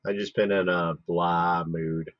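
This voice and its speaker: Male streamer, hoarse and fading voice, low and husky, chatty and rambling; dry, lo-fi mic. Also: level tone, unhurried, no room sound. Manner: chatty, mutters to himself while working. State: losing his voice, hoarse. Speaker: livestream host. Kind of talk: casual live-stream chat while working.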